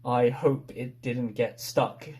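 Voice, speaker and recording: A man retelling a story from memory in a halting, unsure manner, piecing sentences together bit by bit. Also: mid-range voice, steady tone, a little mellow. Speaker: participant in a story-retelling game.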